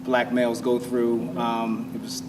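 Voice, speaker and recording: A man giving casual interview answers as a playwright and director, colloquial and thoughtful, feeling his way haltingly through explanations. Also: mid-range voice, completely flat delivery, recorded from a distance. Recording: off-mic with clear background noise.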